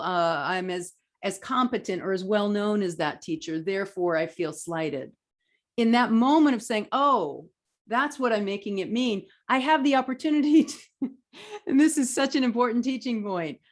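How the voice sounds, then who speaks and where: A middle-aged woman teaching a live online class, lecturing earnestly and emphatically, then reading viewer comments in a softer voice. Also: on the high side. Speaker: spiritual teacher running a live online training.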